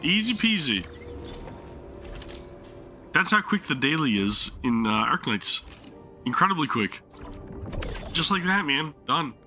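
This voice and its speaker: A man's voice: on the high side, sing-song, slow, pauses often, bright, forceful tone, faint music behind. A man streaming a game live, chatting casually while his sore throat makes him lose his voice, rambling and apologizing often. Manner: apologetic, rambling, many restarts.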